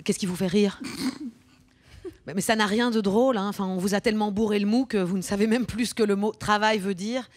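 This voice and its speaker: An actress playing an earnest, indignant single mother in a radio drama, speaking haltingly but insistently. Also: medium pitch, steady tone, a little mellow, natural voice. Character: earnest, indignant single mother defending her unpaid work. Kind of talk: radio drama, naturalistic speech.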